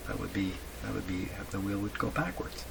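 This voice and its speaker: A man giving unscripted, halting commentary as he thinks aloud while doing hands-on repair work. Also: fairly low pitch. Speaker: radio repair hobbyist narrating a workshop video. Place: indoor radio repair workshop. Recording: clearly noisy workshop recording.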